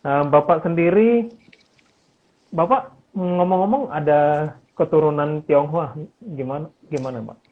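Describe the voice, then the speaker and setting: Husky man over a tinny line. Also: fairly high pitch, measured pace, pauses often. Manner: polite, says "Pak", many fillers. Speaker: interviewer. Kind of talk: casual interview. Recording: tinny phone-like audio.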